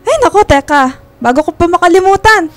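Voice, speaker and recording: A high-voiced, doting mother in a radio drama, full of fond exclamations. She fusses and chatters warmly over soft background music. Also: animated, fairly quick, no echo. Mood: tender, fond. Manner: exclamatory, fussing, affectionate. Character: warm, chatty, doting mother of a grown son. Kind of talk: Filipino radio drama acting.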